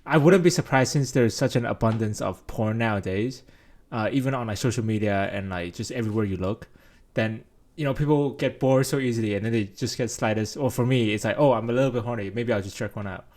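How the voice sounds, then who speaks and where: A man co-hosting a podcast in casual chat, relaxed and agreeable, often answering with short mm-hmms. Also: fairly low pitch, a little fast. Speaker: podcast co-host.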